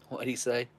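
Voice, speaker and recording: A man's voice: a bit bright, slight background noise. A man in his forties hosting a live stream, chatting casually with viewers in a rambling, chuckling, easygoing way. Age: 40s. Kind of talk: casual live chat reading viewer messages.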